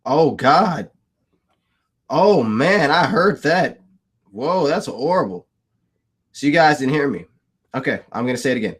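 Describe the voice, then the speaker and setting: A man's voice: a little high, sing-song, measured pace, long pauses, slightly rough. A man hosting a casual livestream, who laughs often, repeats himself and answers his chat. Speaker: livestream host.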